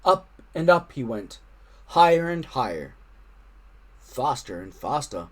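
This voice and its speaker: A man reading a children's story aloud expressively, with animated, dramatic emphasis. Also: mid-range voice, takes it slowly. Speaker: storytime reader.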